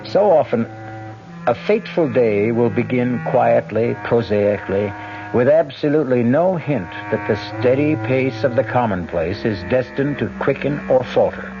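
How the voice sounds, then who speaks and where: A man narrating a radio mystery in a measured, portentous way. His pitch moves widely as he tells the story. Clear music plays under a muffled old broadcast sound. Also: animated. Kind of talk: scripted dramatic narration.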